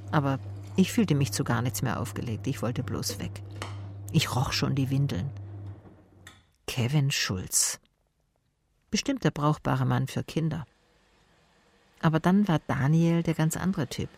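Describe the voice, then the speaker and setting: Casting: hard-boiled female private eye, radio drama, gravelly low voice, dry and sardonic. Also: measured pace, pauses often, darkish tone, heavily acted. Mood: brooding, sardonic. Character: wry, tough female private detective narrating her case. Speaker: narrator and lead actor. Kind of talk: radio drama narration and dialogue.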